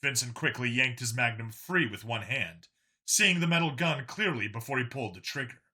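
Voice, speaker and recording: A man reads a story aloud as an audiobook narrator. His voice sits at a middle pitch, with a very sharp, forceful tone and a raspy edge. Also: expressive, normal speed.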